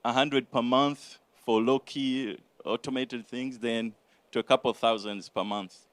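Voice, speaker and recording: A man answering judges' questions at a startup pitch, halting and full of fillers. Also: steady tone, no room sound. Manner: hesitant, many fillers. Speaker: startup founder.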